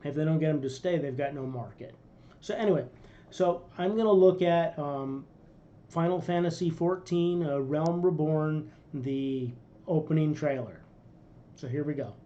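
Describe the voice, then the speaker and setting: A middle-aged man rambles through casual commentary from a small room, circling back with fillers. His voice sits a bit high but sounds mellow. The webcam audio is dull and lo-fi. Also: moderate pace. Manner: rambling, with many fillers and restarts. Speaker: reaction video host.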